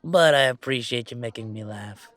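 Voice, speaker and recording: A man's voice: mid-range voice, normal speed, fairly bright tone, close to an everyday voice. A man playing a weary but fond werewolf gamer who streams, bantering and complaining in a conversational roleplay audio. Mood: fond, earnest. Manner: sighing, bantering, mock-defensive complaining. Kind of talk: one-sided roleplay audio drama, conversational.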